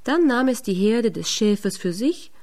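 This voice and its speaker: A woman narrator reading a scripted fairy tale in a measured storytelling manner. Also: a little high, animated, a little mellow.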